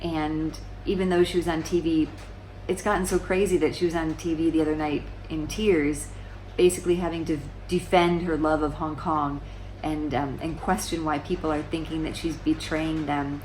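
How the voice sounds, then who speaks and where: A woman's voice: sits low, little pitch movement, moderate pace, a little mellow, slight background noise, low-quality recording. A woman in her forties explaining current events to camera in a casual, conversational way, often restarting sentences and asking rhetorical questions. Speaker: vlogger. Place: small room indoors, seated on a sofa.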